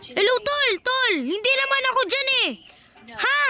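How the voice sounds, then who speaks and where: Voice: extremely high pitch, very animated, moderate pace. An exclamatory, slangy voice acting out an improvised horror skit as a series of phone calls, with music behind.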